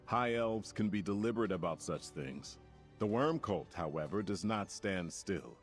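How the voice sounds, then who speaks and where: Resolute male alliance commander for fantasy game: low pitch, stately formal delivery, music behind. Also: animated, unhurried. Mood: grave, determined. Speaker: quest-giver in a fantasy video game. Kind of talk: scripted game dialogue.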